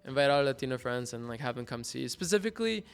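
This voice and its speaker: A young man with a Mexican accent in casual interview talk, relaxed and rambling with many fillers and restarts. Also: medium pitch, fast talker, gravelly, clear, resonant tone. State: hungover. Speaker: graduating art student guest.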